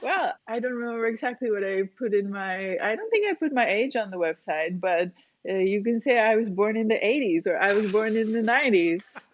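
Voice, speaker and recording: A woman's voice: mid-range voice, animated, brisk. Explanatory woman in her forties, lo-fi. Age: 40s. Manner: chatty, explanatory, laughs often. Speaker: guest, cave diving instructor. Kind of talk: casual interview talk.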